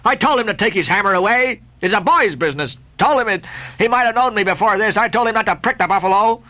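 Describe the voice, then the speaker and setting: A man's voice: sits high, animated, quick pace, pitched above the performer's own voice. A man doing a fierce defiant sailor. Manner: forceful, raised defiant speech.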